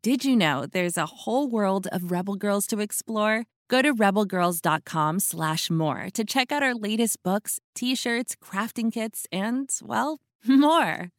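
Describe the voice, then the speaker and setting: A woman's voice: medium pitch, animated, unhurried, few pauses, no echo, studio quality. A woman giving a friendly, upbeat scripted podcast outro to young listeners. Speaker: podcast announcer.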